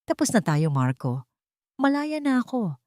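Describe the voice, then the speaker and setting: A woman storyteller voicing a wronged wife who is forgiving but final, gentle and measured in manner. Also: on the high side, very animated, no room sound, clean studio sound, pitched above the performer's own voice. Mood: calm resolve. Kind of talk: dramatic audio storytelling.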